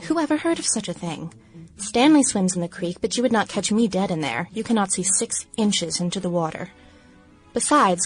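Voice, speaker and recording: A woman's voice: medium pitch, natural voice, poor audio. An actress playing a prim, incredulous young small-town woman of the 1910s, giving a proper yet chatty diary monologue in a radio play. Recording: music bed under the voice.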